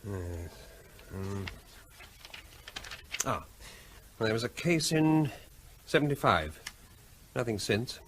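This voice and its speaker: An actor playing a thoughtful, doubting health investigator with a terse manner in a radio drama. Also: male voice, lowish voice, big pitch swings, takes it slowly, pauses often, a bit bright, slight background noise, full character voice. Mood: pensive, probing.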